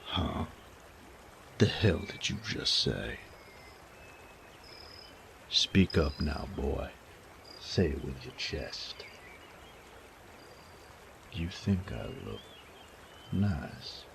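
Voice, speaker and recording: Man playing a very deep, gravelly bandit. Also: expressive, a bit bright, a little hiss or hum, heavily acted. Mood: teasing. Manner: slow, taunting drawl with folksy, profane phrasing. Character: sadistic male bandit, cocky, teasing, menacing rogue. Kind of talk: intimate roleplay audio drama.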